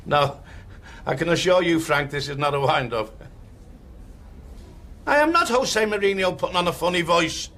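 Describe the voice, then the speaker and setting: A man's voice: medium pitch, fairly bright tone, a little hiss or hum. A man playing a blunt, blustering English football manager who stammers when flustered, in comedy acting. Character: blustering, exasperated, down-to-earth male English football club manager.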